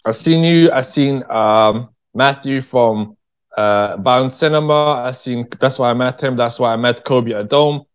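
A man's voice: medium pitch, unhurried, clean tone, highs cut off. A British man talking as an interview guest in an informal, slangy, emphatic way, often checking that the listener follows. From Birmingham, England. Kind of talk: casual conversational interview.

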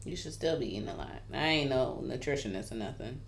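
A woman's voice: very deep voice, expressive, quick pace, creaky. A woman co-hosting a casual chat, playful and teasing, laughing often. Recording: echoey room.

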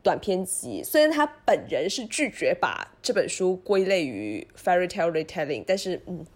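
A woman co-hosting a casual book discussion, expressive and dropping English words into her talk. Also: lowish voice, normal speed. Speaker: podcast co-host.

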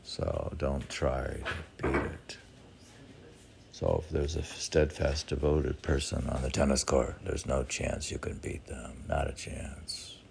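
Older man, extremely deep gravelly voice. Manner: rambling, often asking and answering own questions. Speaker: poet, meditation teacher. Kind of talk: casual musing talk with short poems. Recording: faint background noise with clicks.

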